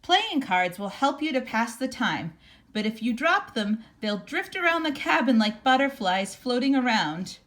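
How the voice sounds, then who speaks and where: A woman reads a children's picture book aloud. Her voice sits a little high. She tells it in a measured, expressive way, as a storybook reader does.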